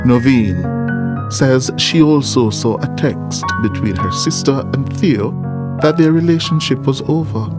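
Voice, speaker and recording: Jamaican male reporter, narration over clear music. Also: medium pitch, animated, a little slow. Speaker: news documentary reporter. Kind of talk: scripted narration. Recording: music behind the voice.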